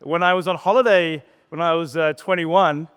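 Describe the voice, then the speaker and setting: Man, slightly high smooth voice, conversational conference presenter, plain-spoken. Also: fairly even intonation, a bit bright. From born in Sri Lanka, grew up in Australia. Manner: plain-spoken, conversational, uses pauses and tag questions. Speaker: startup co-founder, conference speaker. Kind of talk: informal conference presentation, personal storytelling. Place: conference stage in a large hall. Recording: reverberant hall PA sound.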